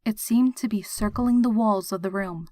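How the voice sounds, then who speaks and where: A woman's voice: mid-range voice, normal speed, warm tone, no room sound. A woman reading a horror story aloud as a scripted, dramatic narrator. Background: background music.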